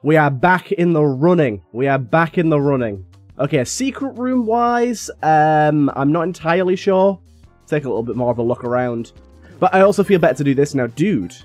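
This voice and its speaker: A young man with a slightly raspy voice from being a little ill, chatting casually and thinking aloud as he plays a game. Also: fairly high pitch, animated, moderate pace, a little mellow, dry recording, soft background music. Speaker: gaming YouTuber. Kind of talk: casual gameplay commentary.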